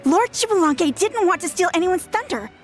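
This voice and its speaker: An eager, high-voiced young woman. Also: animated, normal speed, soft background music. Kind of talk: video game voice acting.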